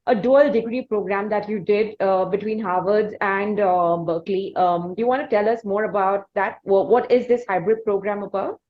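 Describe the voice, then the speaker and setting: A woman's voice: mid-range voice, moderate pace, few pauses. Hesitant woman host on a roomy, dull line. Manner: hesitant phrasing with many uhs and restarts. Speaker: interview host. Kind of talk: interview questions.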